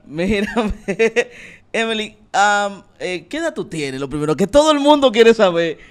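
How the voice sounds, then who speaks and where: Playful, animated male talk show host. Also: sits high, a little slow, smooth. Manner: playful, theatrical, laughs often. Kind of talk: comedic talk show interview. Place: small indoor room. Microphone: handheld mic.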